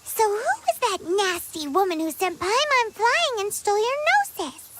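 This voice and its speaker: Tiny squeaky fairy girl for a game, super high, bouncy swooping delivery, excitable and cheeky. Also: normal speed. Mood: puzzled. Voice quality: squeaky, childlike. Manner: exclaiming, speaks of self in third person. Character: small excitable childlike fairy companion, female, cheeky. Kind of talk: video game voice acting. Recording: heavy noise behind the voice.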